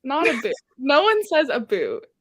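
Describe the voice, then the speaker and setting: Lively high Canadian woman. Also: moderate pace, no room sound, studio quality. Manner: animated storyteller. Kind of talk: casual chat.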